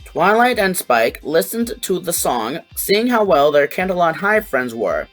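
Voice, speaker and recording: Animated storybook narrator. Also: mid-range voice, unhurried, soft background music.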